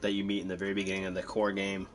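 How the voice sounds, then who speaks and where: A man's voice: sits low, completely flat delivery, racing. A man giving casual, chatty gameplay commentary, drifting into rambling asides. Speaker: video game player commentator.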